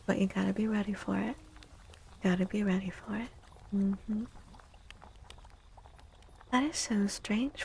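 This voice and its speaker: A soft-spoken woman hosting an ASMR livestream and reading tarot, gentle and unhurried, often dropping into a breathy half-whisper. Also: mid-range voice, a little hiss or hum, poor audio. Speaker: livestream host and tarot reader.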